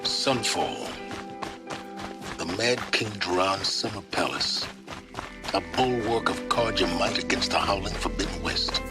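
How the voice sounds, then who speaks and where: A man's voice: low voice, lively intonation, measured pace, loud music behind the voice. An actor playing a coldly pragmatic, secretive male mentor in a video game, with lofty, didactic phrasing. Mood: cool, calculating. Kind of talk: video game cutscene acting.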